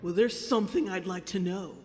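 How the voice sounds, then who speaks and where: A reaction video host with an animated, theatrical, mocking and profane manner, switching between commentary and dramatic reading. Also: medium pitch, brisk, soft background music. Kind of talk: reaction commentary mixed with dramatic read-aloud of a comic.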